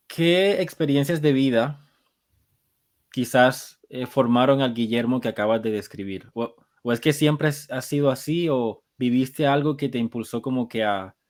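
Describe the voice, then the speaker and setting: A male podcast host speaks in a reflective, searching way, often pausing on fillers as he frames his questions. His voice sits at a middle pitch for a man. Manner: thoughtful, searching for words. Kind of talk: conversational interview.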